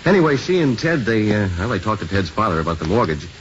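An actor playing an easygoing, reassuring younger priest who coaxes gently and hesitates, in a 1950s radio drama style. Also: male voice, on the low side, big pitch swings, fast. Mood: gentle, upbeat. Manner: soothing, hesitant when breaking news. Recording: muffled, hissy 1950s radio recording.

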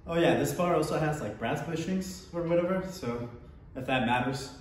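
A man's voice: fairly high pitch, normal speed. Young guy joking around reviewing gym stuff, garage echo, mic kinda far. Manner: joking, offhand, trails off with small laughs. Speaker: fitness vlogger. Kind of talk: casual equipment review. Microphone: camera across the room.

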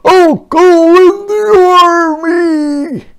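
A man voicing a blustering, bullying army general from a storybook, bellowing drawn-out threats. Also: fairly bright tone, exaggerated voice. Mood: furious. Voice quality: booming, bellowing. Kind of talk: animated picture-book character voice.

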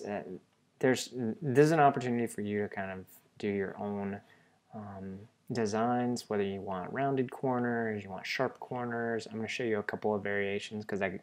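A man presenting a software tutorial in a casual, explanatory way, informally thinking aloud as he goes. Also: lowish voice.

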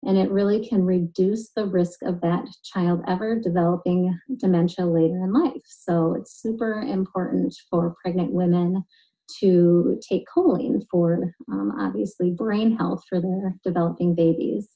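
Woman nutritionist walking through the science, really soft dark mellow voice, calm and clear. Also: fairly low pitch, measured pace, highs cut off. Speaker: nutritionist and genetic specialist. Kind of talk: scripted educational explainer.

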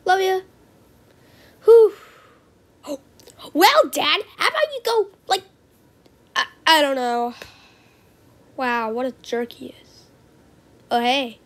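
A woman performing homemade character lines as an amateur voice actor, theatrical, with gasps and drawn-out exclamations. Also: sits high. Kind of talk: homemade character voice lines, animated movie remake.

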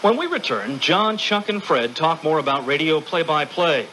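A man with a bright, forceful voice narrates a radio program from a script. His pitch runs fairly high and moves in a lively way, over a clearly noisy broadcast recording. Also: moderate pace.

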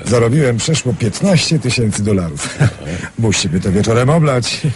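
Actor as a lively, boastful young businessman. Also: medium pitch, normal speed, slight background noise, lightly acted. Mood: breezy, pleased. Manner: chuckling, storytelling, animated. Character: affable, boastful young businessman with a lucky ring. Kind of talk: radio drama acting. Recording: radio play with murmuring crowd behind.

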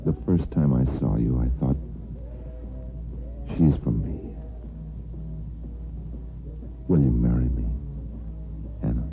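A man's voice: rumbling low, a little slow, vocal fry, full character voice. An American man playing an ardent, plain-spoken bachelor neighbor in an old-time radio soap opera, with earnest, folksy phrasing. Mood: ardent pleading. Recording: muffled vintage radio broadcast with music.

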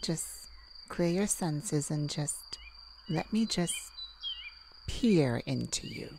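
An unhurried, slightly low-voiced mystic, acted by a woman, calm and enigmatic in an intimate audio roleplay. The delivery is soothing, with hesitant pauses as if peering into someone's mind. Faint birds and crickets sound behind the voice. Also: vocal fry, background noise, exaggerated voice. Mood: calm, probing. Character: calm, enigmatic mystic who peers into minds. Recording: nature ambience with birds and crickets.

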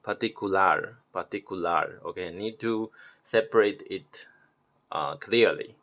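A man from Taiwan, practicing pronunciation slowly and repeating words as he corrects himself. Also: low voice. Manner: slow, repeats words, self-correcting with soft laughs. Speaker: language learner. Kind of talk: self-recorded pronunciation practice.

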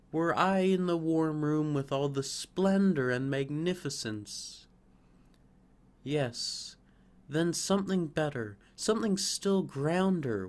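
A restless young fir tree, put on by a male reader, cries out its longing in lifted, eager tones. The voice sits well above an ordinary man's speaking pitch and presses forward with exclamations. It is a storybook reading. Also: darkish tone.

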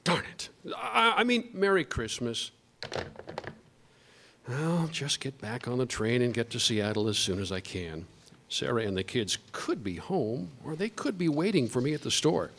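A man's voice: mid-range voice, big pitch swings, moderate pace, gravelly, fairly bright tone, a little hiss or hum. An actor playing an anxious, mild-mannered father, polite and hesitant, in a radio play. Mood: resigned.

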